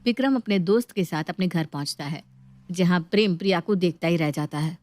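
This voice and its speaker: A woman's voice: fairly low pitch, a little fast, darkish tone, no room sound. Woman narrating a story, dry close sound.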